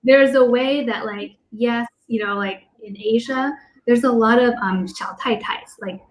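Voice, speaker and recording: A woman's voice: measured pace, rough recording. A woman born in Taiwan and raised in the US, talking animatedly on a video call panel, her voice leaping up to make a point. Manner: animated, pitch jumps up when making a point. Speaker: panel co-host. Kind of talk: casual panel discussion.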